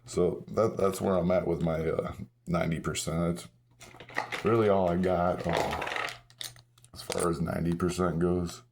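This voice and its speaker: Very low, hoarse man vlogging. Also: level tone, unhurried, long pauses, noisy background. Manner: rambling, self-correcting, chuckles at himself. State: hoarse, partly lost voice. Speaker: coin-collecting vlogger. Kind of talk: casual show-and-tell vlog.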